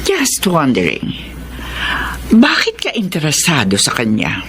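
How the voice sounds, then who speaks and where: A woman's voice: low voice, huge pitch swings, unhurried, fairly bright tone, exaggerated voice. A woman playing a caring, inquisitive middle-aged mother and wife in a Filipino audio drama, with a Filipino accent. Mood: probing. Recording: faint background noise.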